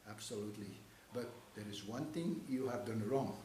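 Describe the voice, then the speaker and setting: An elderly man in his seventies speaks with a Flemish accent and a low voice, as a native Dutch speaker. He tells history haltingly, often repeating his phrases. The room is echoey, with some hiss behind him. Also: dark tone. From Belgium. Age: 70s. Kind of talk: interview, telling personal and church history.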